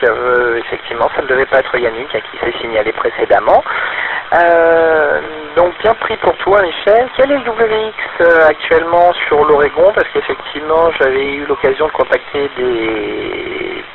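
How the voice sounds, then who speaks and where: A man's voice: on the high side, normal speed. A man from France making an amateur radio contact, reciting call signs and chatting with many hesitations. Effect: two-way radio. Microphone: handheld radio transceiver. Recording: tinny, noisy handheld radio link.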